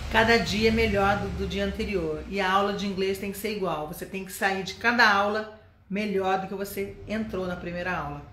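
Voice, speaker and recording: A Brazilian woman in a middle pitch leads a live-streamed English lesson. She talks in a chatty, encouraging way and keeps checking in with her viewers. The sound is roomy, with echo around her voice. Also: a bit bright, a little hiss or hum. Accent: Brazilian Portuguese. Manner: chatty and encouraging, asks "né?" and "tá?" often. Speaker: English teacher.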